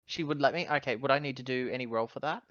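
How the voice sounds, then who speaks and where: Bright-voiced man, dry lo-fi mic. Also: medium pitch, fast talker, dry recording. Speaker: tabletop roleplaying game player. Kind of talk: casual game-table chat.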